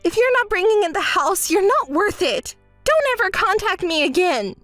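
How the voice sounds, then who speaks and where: A woman performs a spoiled young woman in a dramatized story, her voice pitched high and swinging wildly between whiny pleading and shrill outbursts. She sounds entitled and self-pitying, and the recording is close and dry with faint music underneath. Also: sing-song, normal speed, a bit bright, dry recording, exaggerated voice. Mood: furious.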